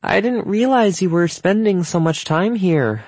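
A man's voice: high-pitched, measured pace, darkish tone, dry recording, pitched above the performer's own voice. A man voicing an uneasy middle-aged mother in an audiobook, with tight, strained politeness.

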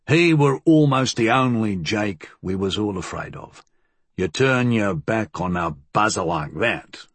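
A huge shaggy old crook as a male narrator plays him, husky and wary. He talks in rough dialect, sizing people up in a dry, close audiobook recording. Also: lowish voice, no echo, heavily acted. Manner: rough dialect, slow and appraising.

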